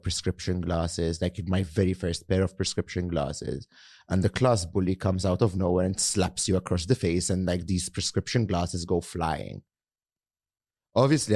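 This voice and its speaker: A man's voice: low voice, a little mellow, no echo, clean studio sound. A young man, a guest on a podcast, chatting casually and rambling with lots of fillers and the odd swear word.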